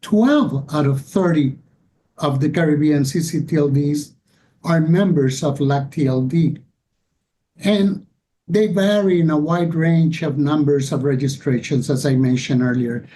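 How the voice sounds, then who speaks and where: A man giving a formal, deliberate slide presentation as a conference panelist. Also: mellow. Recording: rumbly background noise.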